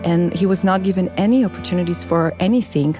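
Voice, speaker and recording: A woman's voice: lowish voice, quick pace, over music. A middle-aged woman from the former Yugoslavia, a former refugee who now works for a nonprofit, speaking in an earnest and reflective way with some fillers. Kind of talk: personal interview account.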